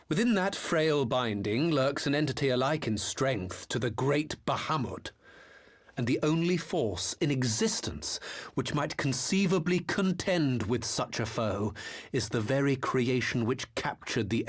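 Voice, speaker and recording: Insinyur jenius angkuh dengan nada sangat dinamis. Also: male voice, mid-range voice, lively intonation, a little slow. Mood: smooth, theatrical. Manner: mocking, grandiose, mannered courtesy. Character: smug, theatrical male master engineer, vain former imperial officer. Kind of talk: video game cutscene acting.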